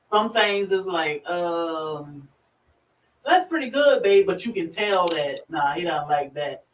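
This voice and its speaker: A woman with a rural Virginia accent hosting a casual livestream, chatty and quick to laugh as she greets viewers by name. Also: lowish voice, lively intonation. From Virginia, USA. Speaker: livestream host. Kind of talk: casual live chat. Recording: echoey room, far from the mic.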